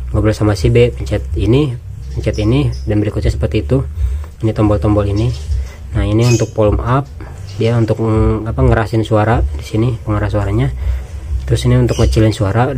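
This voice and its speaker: A man's voice: on the low side, fairly even intonation, brisk, warm tone, a little hiss or hum. A man gives a casual, informal product walkthrough, explaining step by step and repeating phrases. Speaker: product reviewer and seller.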